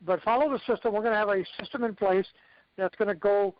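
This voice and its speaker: A man's voice: sits high, fairly even intonation, fast. A man speaking as a success coach, giving an instructive motivational training talk to his team on a conference call and often checking in with "okay". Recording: dull lo-fi conference call audio.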